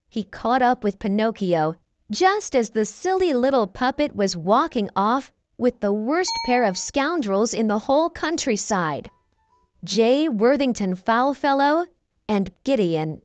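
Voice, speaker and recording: A woman reading a children's storybook aloud, slow, clear and expressive. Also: fairly high pitch, dry recording. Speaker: children's book reader. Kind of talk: storybook read-aloud narration.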